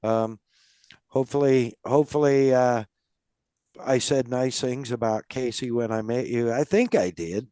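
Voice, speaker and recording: A man's voice: medium pitch, measured pace, dark tone, clean tone, no room sound, studio quality. A man hosting a podcast, chatting casually with a guest and talking in a rambling way, with lots of fillers and restarts. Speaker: podcast host. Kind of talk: casual interview chat.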